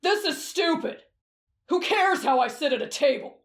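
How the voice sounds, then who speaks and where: A brash, hotheaded teenage boy, as a woman puts him on, speaks gruffly and bluntly. A roomy echo hangs on the voice. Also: extremely high pitch, expressive, moderate pace. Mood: annoyed.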